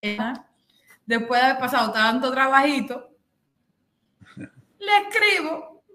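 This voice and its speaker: Cuban woman, middle-aged, high voice, animated storyteller, echoey room, video call. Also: takes it slowly, slight background noise. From Cuba. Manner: animated storytelling, acting out the other people in the story. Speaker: host. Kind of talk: casual chat on a video call. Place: bedroom, indoors.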